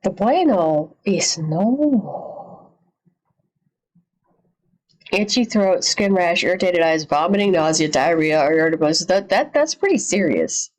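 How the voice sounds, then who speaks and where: A woman's voice: lowish voice, darkish tone. A woman livestream host giving casual, slangy reaction commentary, repeating phrases and swearing a little.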